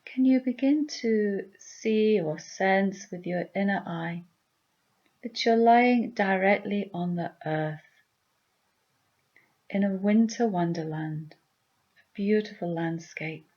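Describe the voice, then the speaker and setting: A woman leading a guided meditation with gentle, soothing, deliberate instructions. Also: medium pitch, highs cut off.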